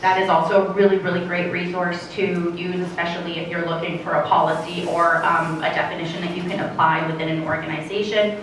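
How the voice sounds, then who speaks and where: A woman's voice: fairly low pitch, level tone, background noise. Woman from Canada, panel speaker, earnest, heavy hall echo, distant mic. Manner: earnest, measured, frequent fillers. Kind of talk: conference panel talk. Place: conference hall.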